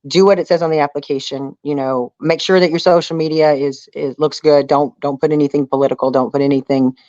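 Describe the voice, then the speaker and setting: A woman's voice: low-pitched, fairly even intonation, a little fast, a little mellow, no echo. Woman chatting casually, dull lo-fi sound. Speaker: reality show castaway being interviewed. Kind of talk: casual interview chat.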